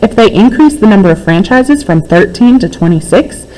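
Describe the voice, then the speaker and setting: A woman's voice: mid-range voice, animated, moderate pace, mellow, poor audio. A woman reading a children's book aloud as a narrator. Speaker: read-aloud reader.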